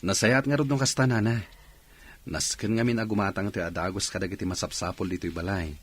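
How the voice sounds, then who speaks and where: An actor playing an earnest, careful grown son in an Ilocano radio drama, with an Ilocano accent. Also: male voice, lowish voice, fairly even intonation, a little fast, husky. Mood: concerned.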